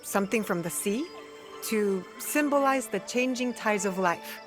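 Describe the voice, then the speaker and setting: A woman playing a compassionate warrior and guiding big sister in a video game, calm and reassuring. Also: medium pitch, measured pace, faint music behind, natural voice. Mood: gentle. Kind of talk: video game cutscene acting.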